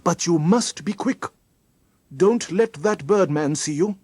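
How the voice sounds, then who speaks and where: A narrator voicing a genial but scheming gentleman in a children's story, coaxing and condescending with endearments. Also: mid-range voice, slow, breathy edge, no room sound, voice pushed up. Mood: urgent, instructing. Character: genial, smooth-talking gentleman, patronising and scheming.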